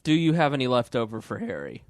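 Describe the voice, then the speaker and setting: A man's voice: mid-range voice, big pitch swings, fast talker, dry recording, clean studio sound. A man hosting a podcast, asking questions in casual, irreverent banter with a blunt, joking manner.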